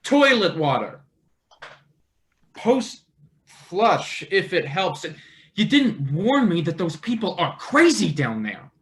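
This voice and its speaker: An actor plays a desperate young intern in a stage drama reading. The pitch swings in a very lively way. The delivery is halting and exasperated, protesting with a sarcastic edge. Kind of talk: live theatre table read.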